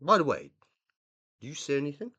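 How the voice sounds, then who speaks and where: An actor playing a dead scientist husband in a radio play, middle-aged and British, pompous, plaintive and indignant. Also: male voice, a little high, wildly swooping pitch, a little fast, pushed and bright, breathy edge, no echo, highs cut off, exaggerated voice. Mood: wounded, wistful. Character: dead scientist husband living as an uploaded consciousness, needy, indignant. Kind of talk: radio play dialogue and monologue.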